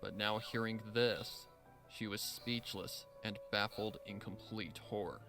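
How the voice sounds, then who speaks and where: A man narrating a dramatic scripted story in a measured storytelling style. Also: fairly low pitch, steady tone, vocal fry. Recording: voice over background music.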